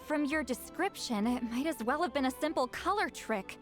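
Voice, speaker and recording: A centuries-old shaman who still sounds youthful. Her voice is high, proud and prickly. She speaks with insistent, dry sarcasm in a video game style, over light music. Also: expressive, moderate pace. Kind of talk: video game character acting.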